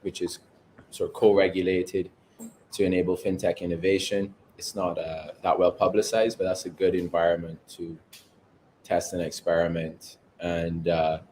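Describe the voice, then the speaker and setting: A man from Barbados, a guest co-founder, giving measured, articulate interview answers from home. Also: deep voice, flat delivery, a little mellow, slight background noise. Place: home overlooking the ocean.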